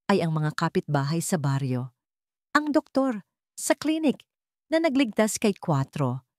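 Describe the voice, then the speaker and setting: Low-voiced woman narrating romance. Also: a little mellow, dry recording, clean studio sound. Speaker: storyteller. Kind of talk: scripted dramatic romance narration.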